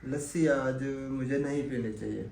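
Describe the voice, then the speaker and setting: A man's voice: mid-range voice, fairly even intonation, moderate pace. A man from Pakistan, chatting to viewers on a family village vlog. He has a sore throat and talks in a chatty way, praying and speaking to the audience directly. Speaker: family vlogger. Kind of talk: casual family vlog chat. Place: rural village home outdoors. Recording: distant mic with clear background noise.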